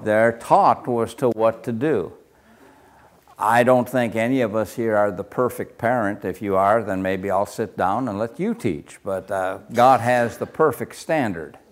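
An older man with a soft, folksy voice leading a casual Bible study class. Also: lowish voice, unhurried. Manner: soft-spoken, folksy, chuckles often.

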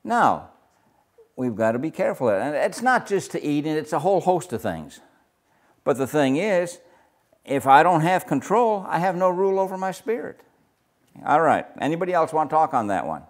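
An older man with a naturally loud voice, leading a Bible study group discussion in a casual, talkative way. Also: fairly high pitch, normal speed. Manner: loud, gets louder when excited.

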